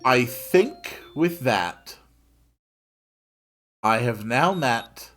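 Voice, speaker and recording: A man's voice: medium pitch, a bit bright. A man reading a story aloud expressively, dropping in wry, casual asides of his own. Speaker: host reading a visual novel aloud.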